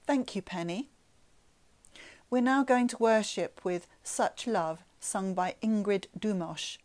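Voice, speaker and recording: A middle-aged woman with a British accent leading an online church service in a measured way. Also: mid-range voice, darkish tone. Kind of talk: leading prayers, reading intercessions. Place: living room at home.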